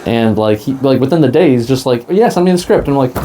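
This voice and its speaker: A man chatting casually as a podcast creator in an interview, halting and informal, with some self-deprecation. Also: medium pitch, expressive, mellow, slight background noise.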